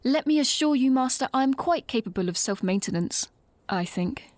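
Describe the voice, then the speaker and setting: A woman's voice: high-pitched, animated, normal speed, darkish tone, dry recording. A woman voicing a formal, obedient female robot companion who calls the others Master. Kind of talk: fan audio drama acting.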